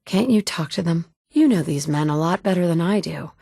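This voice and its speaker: A woman's voice: fairly low pitch, animated, fairly quick, vocal fry, mellow, slightly rough, no echo, heavily acted. A woman voicing the player character in a video game, a capable survivor who talks in a direct, firm way. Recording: dry studio recording.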